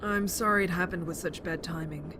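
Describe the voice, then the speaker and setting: A woman's voice: lowish voice, a little fast, close to an everyday voice. A woman voicing a nervous but wry, friendly teenage boy in an audio drama, hesitant and prone to stammering. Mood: anxious. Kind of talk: fan audio drama acting. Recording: noisy ambience behind the voice.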